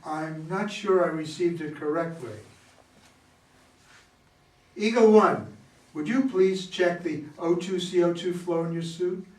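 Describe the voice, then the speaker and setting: A man's voice: high voice, unhurried, pauses often, darkish tone. A man playing an earnest, by-the-book mission control officer in an amateur play reading, speaking in a formal, procedural way. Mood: exasperated, concerned. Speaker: amateur reader of a play, also reading stage directions. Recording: echoey room, distant mic, background noise.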